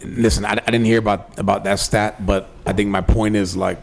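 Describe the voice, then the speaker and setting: A man's voice: fairly low pitch, flat delivery, fairly quick, creaky. A man co-hosting a podcast in casual chat, with an emphatic, slangy, profane way of talking. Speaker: podcast co-host.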